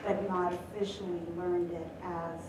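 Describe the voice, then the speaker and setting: A woman professor of Spanish asks a long question from the audience, pausing on many ums. She is heard from far away in a large echoing hall. Noise runs steadily underneath. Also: fairly low pitch, level tone. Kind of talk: audience question at live event. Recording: echoey hall, far from mic.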